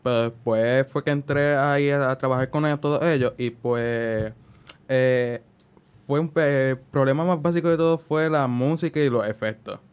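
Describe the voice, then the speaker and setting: A man's voice: mid-range voice, normal speed, clear, resonant tone. A young man giving casual interview answers as a student guest, hesitant and searching for his words. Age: young adult.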